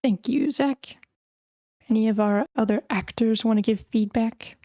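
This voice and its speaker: A woman's voice: fairly high pitch, unhurried, warm tone, dry recording, studio quality. A woman casually moderating a group feedback session as host.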